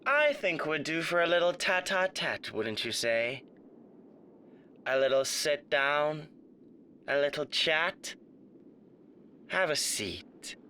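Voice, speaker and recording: Suave, menacing demon, wildly swooping voice. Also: male voice, a little high, very animated, bright, forceful tone, a little hiss or hum, exaggerated voice. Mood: mock-genial. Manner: mock-polite, pompous, drawn-out, taunting delivery. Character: suave, menacing male radio demon, theatrical and condescending. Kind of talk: theatrical roleplay monologue to the listener.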